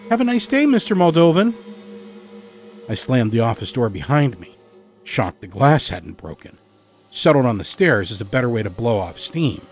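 A man narrating a first-person horror story as a storyteller, dramatic and expressive in his emphasis. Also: lowish voice. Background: musical score.

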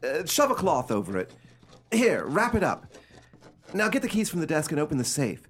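Man playing a nervy, melodramatic gentleman, swooping pitch. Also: huge pitch swings, normal speed, slight background noise. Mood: panicked. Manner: agitated, melodramatic, commanding. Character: nervy, high-handed gentleman haunted by a severed hand. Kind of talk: amateur radio play acting.

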